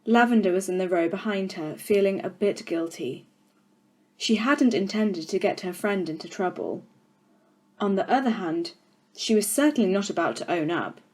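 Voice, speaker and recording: Children's audiobook narrator: woman, mid-range voice, expressive, deliberate and dramatic. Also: medium pitch. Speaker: storyteller.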